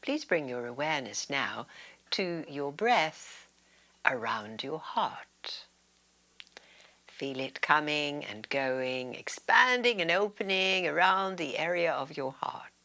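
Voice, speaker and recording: A woman leading a guided meditation as a yoga nidra teacher, speaking in a soothing, deliberate way with breathy, whispered phrase endings. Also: deep voice, animated, sharp tone.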